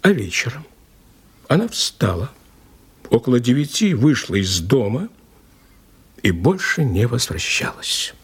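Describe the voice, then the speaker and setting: An actor in an audio play as a respectful police inspector, recounting a case in an emphatic, dramatic way with a slightly husky voice. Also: male voice, medium pitch, measured pace, long pauses, exaggerated voice. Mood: mysterious, confiding. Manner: animated, respectful, emphatic storyteller.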